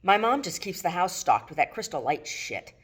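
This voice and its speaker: A woman's voice: fairly low pitch, very animated, normal speed, husky, pitched above the performer's own voice. A woman reading the lines of a casual, bantering, mildly stubborn male friend in a novel. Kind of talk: acted dialogue in a novel reading.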